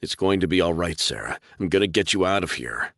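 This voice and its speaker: Man reading as a determined young hero, very low voice, earnest, insistent, dry close sound. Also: animated, fairly quick, creaky, fairly bright tone, no room sound, full character voice. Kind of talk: audiobook character voice.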